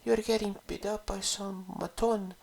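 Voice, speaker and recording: A woman's voice: medium pitch, level tone, moderate pace, vocal fry, a little mellow, slightly rough. A woman playing a concerned, protective young woman in an audio drama. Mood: worried, relieved.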